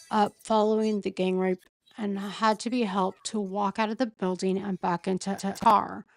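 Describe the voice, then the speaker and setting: Woman, hoarse, gravelly, dry close sound, reads legal text, halting asides. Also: mid-range voice, no echo. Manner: halting, thinks aloud between readings. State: hoarse, losing her voice. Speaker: true-crime commentator. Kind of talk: reading a court filing aloud with asides.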